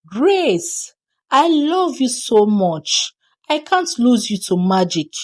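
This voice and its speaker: An earnest schoolgirl, played by a woman, pleads loyally out of worry. Her delivery is unhurried and rises and falls a lot, as in a storybook reading. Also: on the high side, very animated, darkish tone. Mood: tender.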